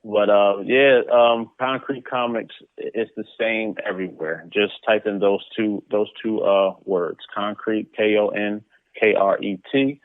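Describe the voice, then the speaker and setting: A man with a low voice comes through a narrow, phone-like connection with no room echo. He talks as an interview guest in a casual, conversational way. He often doubles back on words and short phrases as he builds his point. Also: steady tone, unhurried. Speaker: interview guest, comic book publisher. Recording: muffled phone-like line.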